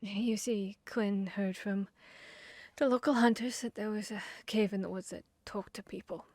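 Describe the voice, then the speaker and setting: A woman's voice: mid-range voice, fairly even intonation, a little mellow, no room sound, natural voice. Hesitant, intimate female vampire hunter, acted by a woman. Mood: reflective, confiding. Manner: hesitant, halting, earnest. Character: guarded, bashful female vampire hunter confessing tender feelings. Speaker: audio roleplay voice actor. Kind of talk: ASMR roleplay, intimate dramatic monologue.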